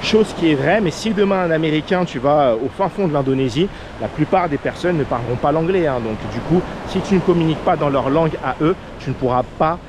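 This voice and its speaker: A man from France with a French accent, giving casual advice as a vlogger in an earnest way, with many fillers. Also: on the high side. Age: under 40. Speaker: vlogger, expat coach. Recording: outdoor noise of waves and traffic.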